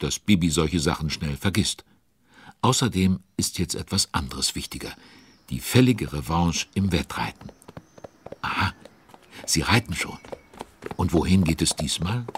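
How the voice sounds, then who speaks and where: A man's voice: no room sound, full character voice. A man narrating a children's audio drama as a chatty storyteller, playful and addressing listeners directly. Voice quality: very deep, husky.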